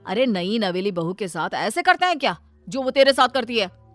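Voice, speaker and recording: A woman's voice: on the high side, big pitch swings, normal speed, a bit bright. A woman voicing a scheming young husband in a family cartoon, wheedling and protesting indignantly as he plays innocent. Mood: coaxing. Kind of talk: Hindi cartoon family-drama voice acting. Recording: music behind the voice.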